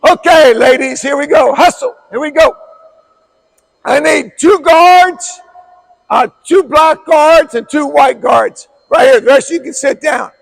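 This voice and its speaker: A middle-aged man with a hoarse voice, a basketball coach giving a clinic talk in a blunt, emphatic way that repeats key words. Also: extremely high pitch, a little slow, low-quality recording.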